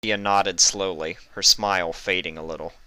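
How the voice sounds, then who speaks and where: Deep-voiced man narrating, crisp and dry. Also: fairly even intonation, fairly quick, pushed and bright, dry recording. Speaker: narrator, fan fiction reader. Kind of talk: expressive scripted story narration.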